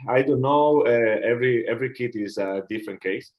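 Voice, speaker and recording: A man from Catalonia with a Spanish accent, answering interview questions in halting English and often searching for words. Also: medium pitch, muffled recording. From Catalonia, Spain. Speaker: guest, futsal player.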